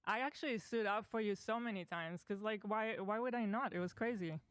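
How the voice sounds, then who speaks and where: A man's voice: high voice, fairly quick, fairly bright tone, smooth, no echo. A man on a podcast, speaking in an earnest, aggrieved way. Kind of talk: podcast chat.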